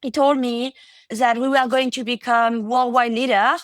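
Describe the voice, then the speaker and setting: A woman's voice: fairly high pitch, steady tone, moderate pace, dry recording. Woman, French accent, thirties, chatty interview guest, fillers and restarts, dry close recording. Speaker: podcast guest, product executive. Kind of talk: casual interview talk.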